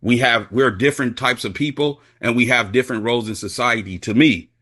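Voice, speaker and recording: A man's voice: medium pitch, brisk, bright, forceful tone. A man on a live panel, arguing firmly and restating his earlier points. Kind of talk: casual live-stream debate.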